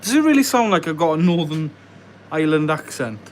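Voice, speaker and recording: A man's voice: on the high side, big pitch swings, brisk, slight background noise. Chatty young man from West Wales. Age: young adult. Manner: chatty, reads viewer questions aloud and mutters while working. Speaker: livestreamer building a model farm. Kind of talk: casual live stream chat. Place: indoor workbench.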